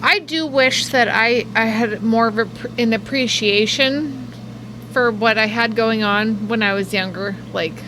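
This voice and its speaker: A woman's voice: on the high side, unhurried, noisy background. A woman in her late thirties co-hosting a casual live show, talking frankly with lots of fillers. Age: 30s. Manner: chatty, filler-heavy, frank. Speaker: co-host.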